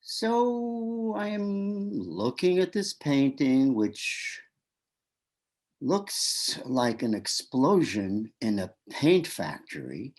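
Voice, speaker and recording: A man's voice: mid-range voice, sing-song, extremely slow, a little mellow, exaggerated voice. A man playing a flustered, stammering shy guy. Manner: flustered, stammering, self-coaching. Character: shy nervous man named Pete at a gallery. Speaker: actor in a one-act play. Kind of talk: stage comedy inner monologue. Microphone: video call.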